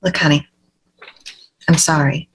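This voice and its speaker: Brash hard-drinking mother played by a woman, husky and slightly low, foul-mouthed, doting, defensive. Table read drama. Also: steady tone, measured pace, heavily acted, rough recording. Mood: apologetic, reassuring.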